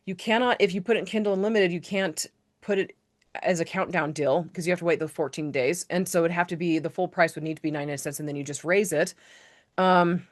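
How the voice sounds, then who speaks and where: Chatty woman hosting a live training. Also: fairly low pitch. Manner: chatty, rambling, self-correcting with many fillers. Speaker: host of a live author-business training.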